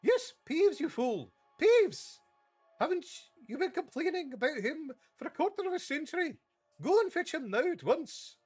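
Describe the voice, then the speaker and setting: A man's voice: extremely high pitch, big pitch swings, a little fast, faint music behind, voice pushed up, exaggerated voice. A man voicing a stern, commanding elderly female professor who barks orders in clipped sentences. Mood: exasperated. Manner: clipped, imperious, shouting orders.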